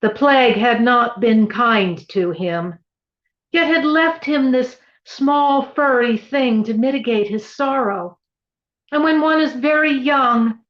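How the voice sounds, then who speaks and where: A woman giving a dramatic, theatrical reading of an eerie literary tale, drawing out her words for effect. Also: on the high side. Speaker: reader at a live library event of dramatic readings. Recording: echoey, dull-sounding room recording.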